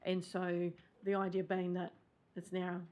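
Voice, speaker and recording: A woman's voice: fairly low pitch, completely flat delivery, a little slow, darkish tone. A woman presenting at a council meeting, hesitant with many fillers.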